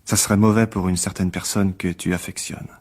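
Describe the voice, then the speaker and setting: Smug, menacing low-voiced rival. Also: male voice, fairly even intonation, brisk, a little mellow. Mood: insinuating menace. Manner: condescending, insinuating. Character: menacing, jealous male rival, smugly cruel and calculating. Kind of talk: French radio drama acting.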